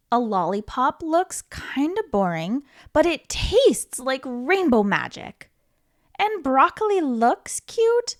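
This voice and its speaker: A woman reading a children's book aloud as a storytime host, in an expressive, playful manner with questions to the listener and dramatic pauses. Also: sits high. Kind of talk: animated children's read-aloud.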